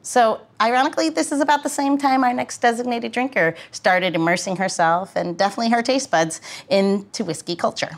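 A woman's voice: a little high, a little fast, hardly any pauses. A woman hosting a podcast in a casual, chatty style. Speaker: podcast host.

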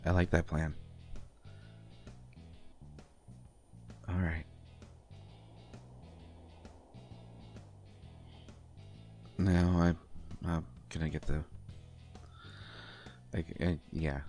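Casting: male actor for affectionate, bashful boyfriend in audio roleplay; very low voice, halting and unhurried delivery. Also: level tone, faint music behind. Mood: tender, bashful. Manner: halting, stammering, gently teasing. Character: affectionate, bashful man comforting his pregnant partner. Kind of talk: intimate roleplay audio acting, one side of a conversation.

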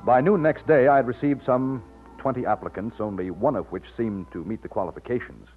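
An actor playing a loyal, modest young Texas plantation overseer, polite and earnest, in an old-time radio drama. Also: male voice, mid-range voice, brisk, close to an everyday voice. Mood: narrating. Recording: muffled vintage radio, music behind.

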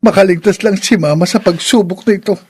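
A meek young son in a radio drama. The voice is high and rough-edged. He speaks hesitantly and deferentially, a long-suffering poor boy who pleads. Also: fast, a little mellow. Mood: sorrowful, resigned.

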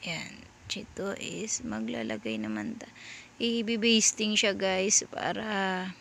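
A Filipino woman talks viewers through sewing steps as a dressmaker. Her delivery is slow and halting, casual, with searches for the right word. Her voice sits at a medium pitch, over faint background noise in a homemade recording. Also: animated, gravelly, fairly bright tone. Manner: halting, searching for words, often addressing viewers. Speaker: dressmaker giving a sewing tutorial. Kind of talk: casual step-by-step voice-over tutorial.

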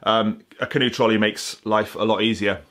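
Forties male maker, slightly low voice, easygoing and talkative, filmed close-up indoors in a workshop. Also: moderate pace. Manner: chatty, relaxed, explaining step by step with many ums. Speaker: bushcraft and canoe maker vlogger. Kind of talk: casual talking to camera. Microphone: camera close to face.

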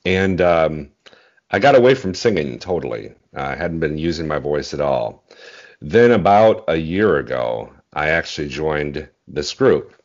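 A man in his forties with a very deep bass voice, speaking modestly and a little haltingly in a casual interview over a video call. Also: animated. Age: 40s. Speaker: interview guest, bass singer. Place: small indoor room at home. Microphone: earbud headset on video call. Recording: dull, muffled video-call audio.

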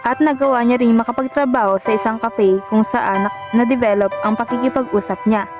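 A woman's voice: on the high side, fairly even intonation, brisk. A woman giving scripted storytelling narration for a radio drama. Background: loud music.

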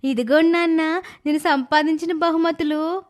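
A shrill, very high little girl from a storybook, timid and eager, her words stretched out slowly, as a male narrator puts her on. She sounds small, with a piping voice far above a man's. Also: steady tone. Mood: proud. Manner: childlike, high and drawn-out.

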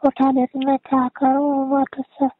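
A woman's voice: sits high, completely flat delivery, unhurried. A woman with a Mewati accent, chatting casually in a private phone call. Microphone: telephone. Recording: narrow, tinny phone call recording.

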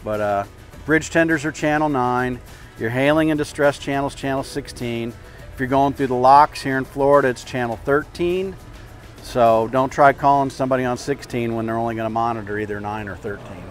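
An older man with a mid-pitched voice gives practical boating instruction in a casual, explanatory way. He speaks outdoors on a clip-on mic, with music behind him. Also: fairly even intonation, normal speed. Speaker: boat captain giving boating tips. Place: outdoors at a marina by a parking lot. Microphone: clip-on lapel mic.

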